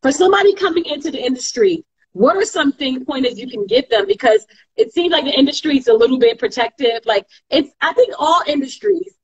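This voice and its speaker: A woman's voice: high voice, animated, fairly quick, highs cut off. A woman hosting an interview over a video call, asking questions with curious, encouraging interest and agreeing often. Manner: curious and encouraging, many affirming "yes" and "mm-hmm". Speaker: interview host. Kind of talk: casual interview. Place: indoors, small room.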